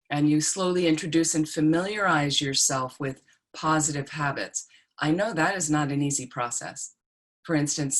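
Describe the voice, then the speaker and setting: A woman with a fairly low voice teaches an online dharma class. She talks informally and reassuringly, checking in with her listeners as she explains. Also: fairly bright tone. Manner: conversational, reassuring, checks in with "okay?" and "right?". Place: online group video call.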